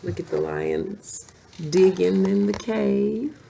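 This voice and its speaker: A woman reading a children's story aloud in an expressive storytelling style, explaining as she goes. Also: medium pitch, vocal fry, a little hiss or hum, rough recording. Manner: pauses to explain pictures to listeners.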